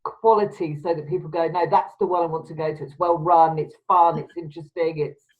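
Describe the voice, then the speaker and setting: A woman, hosting a casual video-call chat, emphatic and quick to laugh. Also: low-pitched, steady tone, narrow, tinny audio. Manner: chatty, laughs often, emphatic. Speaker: podcast host.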